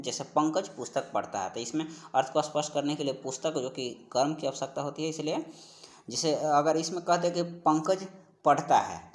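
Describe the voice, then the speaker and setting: A man teaching an exam-prep class, explaining practice questions and repeating key phrases as he goes. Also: fairly high pitch. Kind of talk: instructional lecture, working through practice questions.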